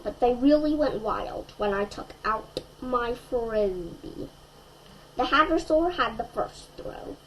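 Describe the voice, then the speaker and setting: Woman, higher-pitched, very unhurried, expressive children's read-aloud, faint background noise. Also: poor audio. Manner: expressive, asks the young listeners questions. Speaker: storyteller.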